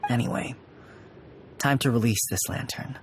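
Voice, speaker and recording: An actor playing a warm, nostalgic young man in a video game, soft and sentimental. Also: mid-range voice, normal speed, slight background noise, natural voice.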